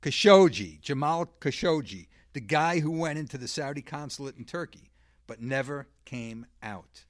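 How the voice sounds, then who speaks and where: A man's voice: medium pitch, animated, moderate pace, no room sound, clean studio sound. A man hosting a scripted comedy interview, throwing out wisecracks and sarcastic questions. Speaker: host.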